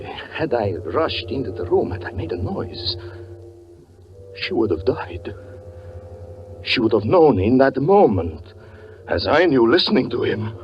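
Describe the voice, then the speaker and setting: An actor playing a tormented, brooding stage magician in a 1940s radio suspense drama, intense and theatrical with halting dramatic pauses. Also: male voice, medium pitch, slight background noise. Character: tormented stage magician confessing jealous obsession, anguished and brooding. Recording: muffled 1940s radio broadcast with music.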